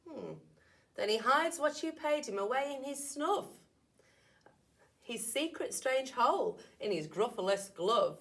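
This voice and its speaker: A woman's voice: on the high side, expressive, a little slow, fairly bright tone. A woman, a primary school teacher, reading a picture book aloud expressively to young children with asides.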